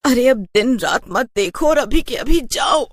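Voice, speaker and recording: A woman's voice: on the high side, expressive, a little slow, no room sound, clean studio sound, pitched above the performer's own voice. A woman voicing a nagging, urgent wife and mother in a cartoon horror story. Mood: alarmed, urgent. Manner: scolding, urgent, exclamatory. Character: nagging, alarmed young mother and wife ordering husband around.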